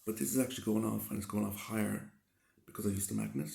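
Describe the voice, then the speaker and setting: A man with a rough, hoarse voice from a cold, giving casual, reassuring advice and often repeating and rephrasing himself. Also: on the low side, steady tone, fast talker, mellow. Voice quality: gravelly, slightly rough. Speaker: vlogger answering a subscriber. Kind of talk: casual advice talk to camera. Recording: roomy, echoey sound.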